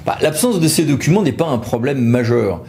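A man's voice: mid-range voice, expressive, brisk, dark tone. A man explains legal matters to camera in a conversational, loosely improvised way. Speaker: lawyer presenting an explainer video.

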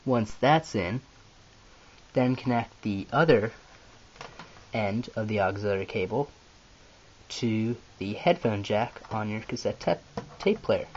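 A man's voice: lowish voice, expressive, takes it slowly, pauses often, muffled recording, slight background noise. A man narrating a step-by-step computer tutorial as its host.

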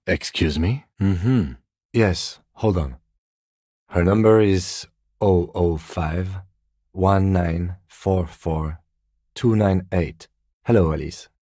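Middle-aged male police lieutenant, video game dialogue, very low pitch, dark mellow tone, measured, deadpan, restrained. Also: husky, no room sound, clean studio sound, full character voice.

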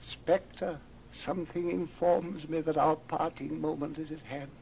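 An actor playing a frightened old miser in an old radio drama, theatrical and imploring. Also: male voice, a little high, steady tone, unhurried, creaky, a little mellow, slight background noise. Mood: solemn dread. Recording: old record with music underneath.

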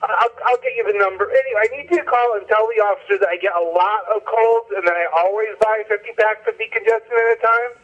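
A man's voice: high voice, pitched above the performer's own voice. A man voicing an athlete in trouble who leaves self-justifying comedy messages on an answering machine. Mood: sheepish, flustered. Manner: hedging, self-justifying, halting. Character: excuse-making male pro athlete in trouble. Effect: answering machine playback. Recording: dull lo-fi answering machine sound.

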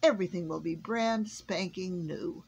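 A woman's voice: fairly low pitch, measured pace, vocal fry, a little mellow, a little hiss or hum. Jolly old Santa Claus, weary but hearty, storybook voice, played by a woman reader. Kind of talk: storybook reading.

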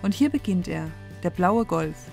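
A woman calmly narrating a sailing vlog in a scripted voice-over. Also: fairly low pitch, a little slow, gravelly, soft background music.

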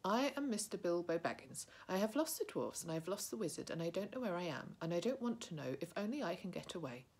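A woman's voice: fairly low pitch, very animated, fast talker, hardly any pauses, darkish tone, husky, heavily acted. A woman voicing a small, polite, nervous male hobbit who answers eagerly in a raised voice. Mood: anxious.

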